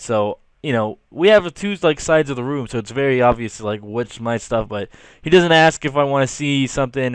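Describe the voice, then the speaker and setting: A man's voice: mid-range voice, clear, resonant tone, dry recording. A young man telling a casual, slangy story with exasperated asides. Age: young adult. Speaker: storytelling video creator. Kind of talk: casual storytelling over rough drawings.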